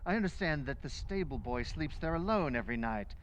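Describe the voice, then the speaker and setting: Man playing wheedling racing tout, fairly high voice. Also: lively intonation, fairly quick, slight background noise, close to an everyday voice, low-quality recording. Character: smooth-talking, pushy male stranger, a bribing racing tout. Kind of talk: old-time radio drama acting.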